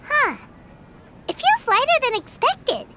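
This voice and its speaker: A woman's voice: very high-pitched, huge pitch swings, slow, heavy background noise, full character voice. A woman voicing a tiny, childlike floating companion creature in a video game, wide-eyed and exclamatory. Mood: curious.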